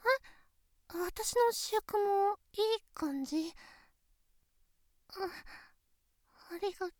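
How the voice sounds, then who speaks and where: A woman's voice: very high-pitched, drawn-out words, a little mellow, no echo, exaggerated voice. A voice actress playing a shy, sweet teenage girlfriend who stammers when flustered, in a close-miked situation voice drama. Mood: cheerful.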